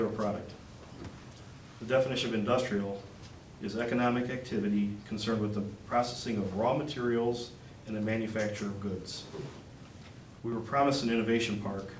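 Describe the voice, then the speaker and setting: A man giving a measured, concerned public comment at a township meeting. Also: mid-range voice, slight background noise. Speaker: resident speaking at public comment. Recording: echoey room mic from a distance.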